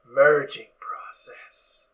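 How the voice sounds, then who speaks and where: A brainy ghoul pony scientist, played by a man in an audio drama, with a bright, tense voice. He lectures in a brisk, absorbed manner, though his overall pace is slow with pauses. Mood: explaining. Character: distracted brainy undead pony scientist, camp leader. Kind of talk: amateur audio drama acting.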